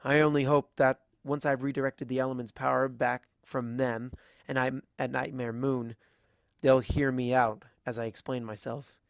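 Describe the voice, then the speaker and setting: A narrator reading fan fiction aloud as expressive scripted story narration. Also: medium pitch, moderate pace, vocal fry, no echo, clean studio sound.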